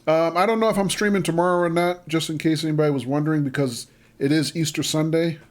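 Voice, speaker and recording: A man hosting a live game stream talks loosely with his chat, reading messages aloud and thanking viewers. His voice sits a bit higher than most men's. He speaks into a desk microphone. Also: fairly even intonation, fast talker. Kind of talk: casual livestream chat while playing.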